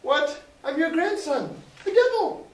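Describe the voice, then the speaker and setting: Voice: extremely high pitch, expressive, a little slow. A performer playing a doting, melodramatic teenage devil grandson in a comic stage play, earnest and exaggerated. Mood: distraught. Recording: noisy echoey room, distant mic.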